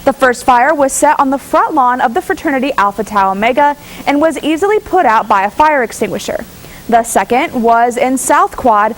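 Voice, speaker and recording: Higher-pitched woman reporting the news. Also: rough recording. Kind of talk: live studio news report.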